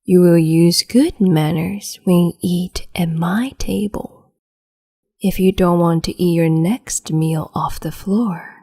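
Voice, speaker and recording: A cruel, capricious young empress, played by a woman coos and whispers in a soft, breathy voice, taking her time over every word. Her tone is low and mellow, teasing and condescending as she dotes on her pet. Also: heavily acted. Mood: cruel doting. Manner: slow, teasing, condescending, doting. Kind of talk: ASMR roleplay audio.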